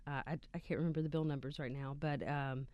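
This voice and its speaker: Soft-spoken West Virginian woman activist. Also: low voice, steady tone, fast, no echo, lo-fi sound. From West Virginia, USA. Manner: hesitant, many ums and uhs, soft-spoken. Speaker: guest, local activist. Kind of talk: casual interview. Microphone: sits a bit off the studio mic.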